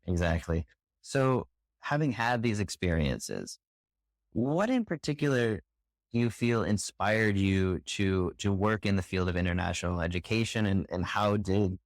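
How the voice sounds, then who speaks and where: Deep-voiced male podcast host. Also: no echo, studio quality. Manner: measured, backchannels often. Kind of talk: interview questions and affirmations.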